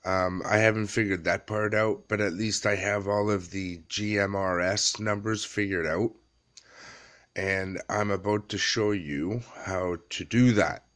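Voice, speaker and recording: A man in his thirties with a fairly low voice talks through a how-to at a very slow pace. His delivery is casual and plain, broken by frequent pauses, as in a homemade tutorial. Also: steady tone, a bit bright. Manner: halting, plain-spoken, pauses often. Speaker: amateur tutorial presenter. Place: small dim room indoors.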